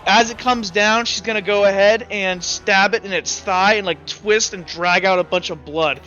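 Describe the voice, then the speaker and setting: A man's voice: high voice, fairly even intonation, normal speed, bright, forceful tone. A young man acting as game master, narrating a tabletop game in loose, rambling sentences full of fillers. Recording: slightly muffled, dull audio. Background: faint background music.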